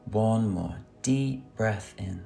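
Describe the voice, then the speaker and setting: A man leading a guided meditation with gentle, soothing, unhurried instruction. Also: fairly low pitch, gravelly. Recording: soft music under the voice. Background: music.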